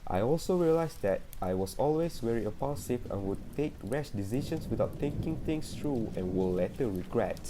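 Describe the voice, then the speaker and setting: A man's voice: mid-range voice, animated, moderate pace, few pauses, background noise, close to an everyday voice. A man playing an earnest, troubled therapy patient giving a confessional monologue in an amateur radio drama. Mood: earnest, confessing.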